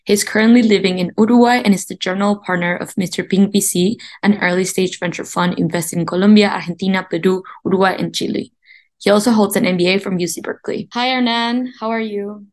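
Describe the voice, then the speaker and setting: A woman's voice: medium pitch, steady tone, brisk, darkish tone. A woman hosting an interview podcast. She introduces the show and her guests in an upbeat, eager way. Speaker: podcast host.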